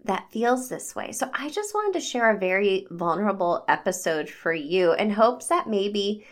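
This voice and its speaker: A woman's voice: mid-range voice, big pitch swings, moderate pace. A woman in her forties hosting her own podcast, talking in a chatty, upbeat way with lots of "like" and "you know.". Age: 40s. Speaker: podcast host and habit coach. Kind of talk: casual solo podcast monologue.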